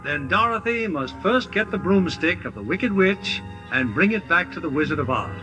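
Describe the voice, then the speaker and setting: A man's voice: high voice, lively intonation, moderate pace, over music, poor audio. A man narrating a story in theatrical 1950s radio style.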